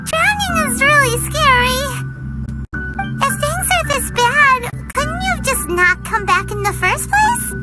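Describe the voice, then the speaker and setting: A woman voicing a small, excitable female companion creature with a high, childlike voice, gasping and easily alarmed, over game music. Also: measured pace. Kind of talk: video game dialogue. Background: game background music.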